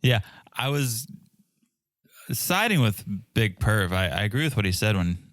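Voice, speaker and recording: A man's voice: on the low side, sing-song, a little slow, fairly bright tone, no room sound. A man co-hosting a podcast, chatting casually and jokingly about a reality show. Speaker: podcast co-host.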